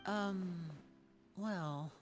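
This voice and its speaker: A woman voicing a suave, courteous teenage boy magician in an anime-style game, smooth and theatrical. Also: medium pitch, very slow, loud music behind the voice. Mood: hesitant.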